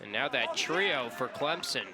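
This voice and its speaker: A man's voice: mid-range voice, animated, measured pace, music in the background. A man giving live soccer analysis as a color commentator, conversational and analytical. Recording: clearly noisy live broadcast ambience.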